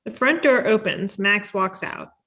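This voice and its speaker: A woman narrates a screenplay at a table read, giving scene headings and actions as scripted narration. Her voice sits at a middle pitch. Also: measured pace, pushed and bright. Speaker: stage directions reader at a table read.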